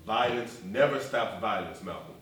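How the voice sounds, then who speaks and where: Echoey, distant stage drama: resolute, peaceable reverend played by a male actor, mid-pitched, earnest orator. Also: animated, normal speed, a bit bright. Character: resolute, peaceable male preacher and civil rights leader. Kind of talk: theatrical one-act stage play acting. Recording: echoey, noisy stage recording from afar.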